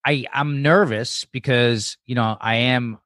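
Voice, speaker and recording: A man's voice: medium pitch, fairly even intonation, a little slow, a bit bright, no room sound, studio quality. A man, a comedian guesting on a podcast, telling stories in a rambling, informal way. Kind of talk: casual chat and storytelling.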